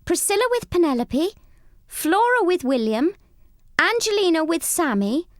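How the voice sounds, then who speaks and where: A woman voicing a brisk female mouse schoolteacher in a storybook, announcing firmly. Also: extremely high pitch, very animated, dry recording, clean studio sound, voice pushed up, heavily acted.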